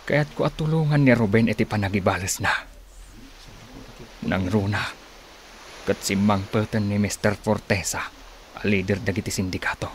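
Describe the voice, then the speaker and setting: A man's voice: lowish voice, moderate pace, pauses often, slight background noise, lightly acted. An actor playing a troubled, resolute man, speaking an earnest, brooding monologue in a radio drama.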